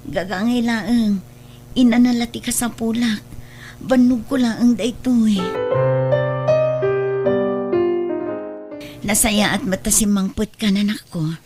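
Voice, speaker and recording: A woman's voice: mid-range voice, measured pace, music in the background, natural voice. An actress playing a poor, devoted, self-sacrificing mother in an Ilocano drama, humble and earnest, with an Ilocano Filipino accent. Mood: reassuring, ailing. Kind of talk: Ilocano radio-style drama acting.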